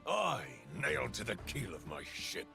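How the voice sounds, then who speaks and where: Gravelly, ruthless captain. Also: male voice, mid-range voice, huge pitch swings, moderate pace, full character voice. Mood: vengeful. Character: ruthless, vengeful, menacing male pirate captain. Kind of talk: theatrical video game voice acting. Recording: loud game music behind.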